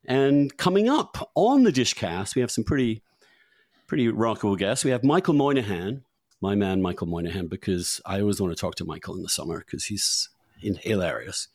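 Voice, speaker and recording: A man's voice: lowish voice, animated, brisk, a little mellow, no echo. Middle-aged guy hosting a podcast, rambly, stammers and doubles back on words, very dry close sound. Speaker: podcast host. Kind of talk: chatty unscripted podcast introduction and interview.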